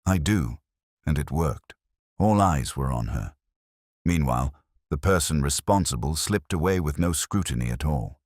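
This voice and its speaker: A man voicing a quiet, shrewd police inspector in an audio mystery story, speaking in a measured, deliberate way. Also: rumbling low, animated, dry recording, clean studio sound. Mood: grave, deliberate.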